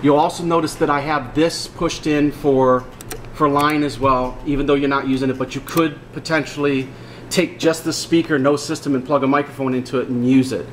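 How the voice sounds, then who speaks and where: A man's voice: mid-range voice, steady tone, normal speed. Man giving a tutorial, noisy background. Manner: plain-spoken, explanatory, repeats instructions. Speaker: audio equipment installer giving a tutorial. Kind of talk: casual hands-on product walkthrough. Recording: clearly noisy room background.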